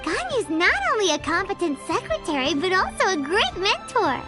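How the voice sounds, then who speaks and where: A woman voicing a tiny, chirpy, curious fairy girl companion in a video game, with a squeaky childlike voice. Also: sing-song, normal speed. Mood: proud. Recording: loud game music behind voice.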